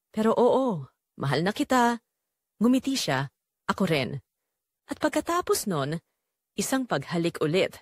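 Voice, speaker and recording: A woman with a Filipino accent narrates a dramatic scripted story in the first person, in deliberate, emotive short phrases. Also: medium pitch, no echo, clean studio sound.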